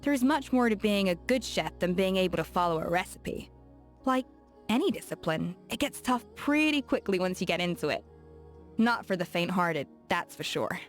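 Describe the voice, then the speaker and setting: A woman's voice: lowish voice, animated, normal speed, close to an everyday voice. An actress voicing a confident, encouraging woman chef in a video game, with faint music behind. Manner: warm, encouraging and playful. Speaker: game character. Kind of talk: game voice acting.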